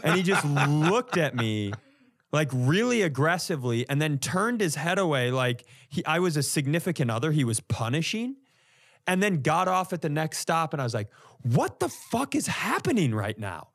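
Animated, higher-voiced man telling comic stories. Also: normal speed. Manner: animated, exasperated comic delivery, profane. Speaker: comedian, podcast co-host. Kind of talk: casual comedic storytelling podcast chat.

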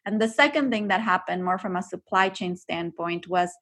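A woman's voice: lowish voice, normal speed, fairly bright tone. A middle-aged woman from Ecuador, a company co-founder answering questions in a podcast interview, thoughtful and full of fillers and restarts.

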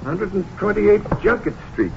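An actor playing a chatty, obliging small-town Texas optometrist with folksy clipped phrasing in a 1950s radio drama. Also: male voice, sits high, big pitch swings, moderate pace. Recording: muffled, hissy old radio recording.

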